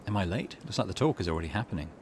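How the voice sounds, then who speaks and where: A man hosting a gaming let's play, casually reading on-screen text and commenting in a musing, low-key way. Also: lively intonation, rapid-fire, darkish tone, a little hiss or hum. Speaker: gaming channel host.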